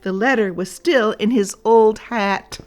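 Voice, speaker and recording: A woman reading a storybook aloud for children, deliberately and addressed straight to young listeners. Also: mid-range voice, a little mellow.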